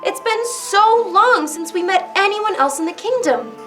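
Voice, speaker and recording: A woman's voice: very high-pitched, expressive, measured pace, pushed and bright, faint music behind, heavily acted. A woman playing an eager, courteous magical creature in a children's reader's theater play. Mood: wistful.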